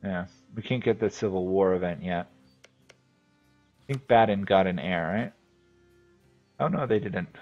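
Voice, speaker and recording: Low-voiced New England man thinking aloud. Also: a little slow, pauses often, highs cut off, faint music behind. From New England, USA. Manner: thinks aloud, rambling with fillers. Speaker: game streamer. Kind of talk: casual commentary while playing.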